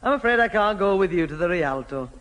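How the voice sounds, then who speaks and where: A woman playing a brisk, firm mother instructing her son in a 1970 radio sitcom. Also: lowish voice, animated, close to an everyday voice. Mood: placating, firm. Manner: brisk, admonishing. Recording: old radio recording in echoey hall.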